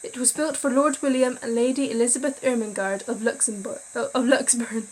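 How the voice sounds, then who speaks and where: A woman's voice: a little high, fairly even intonation, a little fast, poor audio. A tired young woman reading a visual novel's story aloud and chatting casually, often tripping over words and laughing at herself. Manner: chatty, self-deprecating, stumbles over words while reading. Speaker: gaming video creator.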